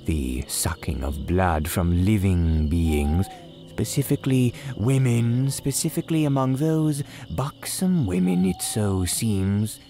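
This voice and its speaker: A man playing a courteous, gleefully sinister cemetery keeper, telling horror tales in grand, archaic, drawn-out phrases like old-time radio drama. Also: low-pitched, a little mellow, heavily acted. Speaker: horror storyteller host.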